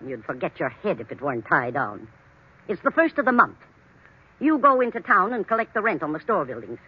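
A woman's voice: fairly low pitch, big pitch swings, a little fast, breathy edge. A woman playing a domineering, scornful middle-aged wife in old radio drama, bossy, curt and suspicious. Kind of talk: 1940s radio drama acting. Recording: muffled, fuzzy vintage radio recording.

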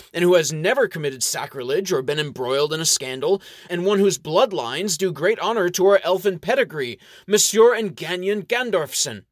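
A man doing a pompous high elf councilor from a storybook, loud and raised high, every phrase pushed out with bombast. The voice is close and dry, with no room around it. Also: no room sound.

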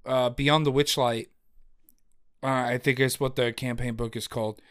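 A man co-hosting a casual podcast, rambling and excitable, with lots of fillers and restarts. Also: medium pitch, normal speed. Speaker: podcast co-host. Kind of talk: casual chat about tabletop games. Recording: lo-fi home audio.

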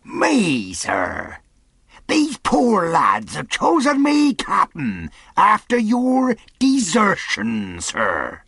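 Storybook pirate leader, acted by a male narrator: sly, jovial, folksy, loud, pitched well up, swooping. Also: huge pitch swings, extremely slow, gravelly, breathy edge, no room sound, clean studio sound, full character voice. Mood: bold, bargaining. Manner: bellowing, folksy, bold. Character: Long John Silver, cheery cunning male pirate leader.

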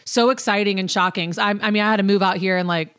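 A woman's voice: mid-range voice, steady tone, fast talker, fairly bright tone, clean tone. A woman hosting a casual interview, chatting informally and encouragingly and sprinkling her talk with "like". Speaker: podcast host, interviewer. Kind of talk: casual chat.

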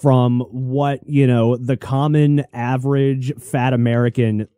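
A man's voice: mid-range voice, fairly even intonation, slow, mellow, clean tone, no echo, studio quality. A young man co-hosting a casual podcast, wry and blunt, tossing in jokes. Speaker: podcast co-host.

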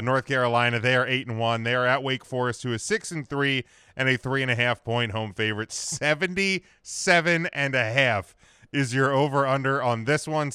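A man's voice: medium pitch, pushed and bright, clean tone, dry recording, clean studio sound. A man hosting a casual sports picks show, chatting and moving the discussion along.

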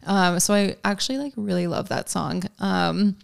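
A woman's voice: medium pitch, normal speed, creaky, dry recording, studio quality. A young woman from Georgia with no country accent, chatting casually on a podcast with lots of ums and likes. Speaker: podcast guest. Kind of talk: casual chat.

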